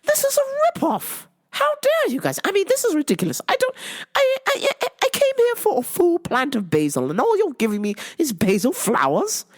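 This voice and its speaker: A woman voicing an indignant, entitled shopper who whines high and fast about being overcharged. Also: wildly swooping pitch. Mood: outraged whining. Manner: whiny, indignant, high-pitched. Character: shrill entitled middle-aged woman customer complaining.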